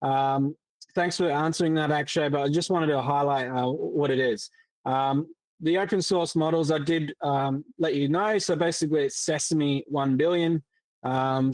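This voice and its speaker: A man presenting a software demo in a casual way, with many fillers and restarts. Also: fairly high pitch, no room sound, rough recording. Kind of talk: explaining a tech demo.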